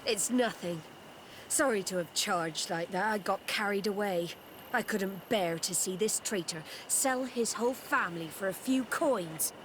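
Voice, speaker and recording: Game role, actress: proud female naval commander, firm formal delivery, medium pitch, audible outdoor noise underneath. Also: unhurried, close to an everyday voice. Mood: brusque. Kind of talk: video game cutscene acting. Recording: noisy outdoor ambience behind the voice.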